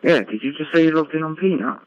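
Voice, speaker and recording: A man's voice: fairly high pitch, fast talker, dry recording. A man chatting as an amateur radio operator over a digital radio link, explaining technical details in a matter-of-fact way. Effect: digital ham radio transmission. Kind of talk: casual radio contact chat, technical. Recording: dull, band-limited amateur radio audio.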